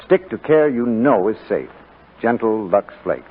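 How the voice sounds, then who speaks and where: A man's voice: on the low side, animated, measured pace, breathy edge. A man announcing and reading a scripted 1940s radio commercial. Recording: muffled 1940s radio recording.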